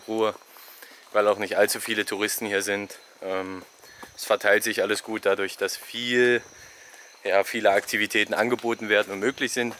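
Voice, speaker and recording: A man's voice: on the low side, slight background noise. A young man vlogging while he walks through a rainforest park, filming himself at arm's length and casually giving travel tips. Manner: relaxed, chatty, giving tips. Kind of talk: casual walking vlog commentary. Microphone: selfie camera at arm's length.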